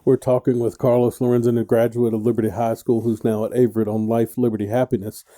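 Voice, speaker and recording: Middle-aged male host, very mellow dark tone, rambling, joky interviewer. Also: medium pitch, flat delivery, quick pace. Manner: rambling, joking, many restarts. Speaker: radio show host. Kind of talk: casual radio interview.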